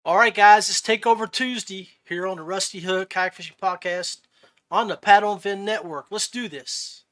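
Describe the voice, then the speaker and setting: A man hosting a podcast in casual interview chat, halting and often repeating words as he goes. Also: high-pitched, fairly bright tone. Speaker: podcast host.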